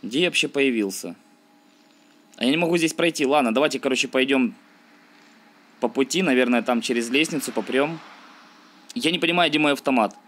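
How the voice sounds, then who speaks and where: Guy doing a lets play, medium pitched voice, slangy, mutters his thoughts and grumbles, bit of game noise behind. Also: fairly quick, long pauses, a bit bright. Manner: slangy, thinking aloud, grumbling. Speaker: gaming video host. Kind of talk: casual let's-play commentary.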